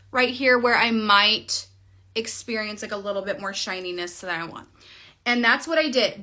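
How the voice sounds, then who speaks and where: A woman's voice: on the high side, bright, forceful tone. A woman in her thirties chatting through a casual livestream makeup tutorial, recorded on a phone camera in a small room at home. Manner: chatty, bubbly, talks while applying makeup. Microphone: phone camera at arm's length.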